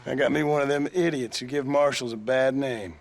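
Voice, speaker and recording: A man's voice: medium pitch, fairly even intonation, a little fast, gravelly, natural voice. A man playing a laconic, reticent Old West gunman, formally polite and dry, in a game cutscene. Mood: curt, dry. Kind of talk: video game cutscene acting.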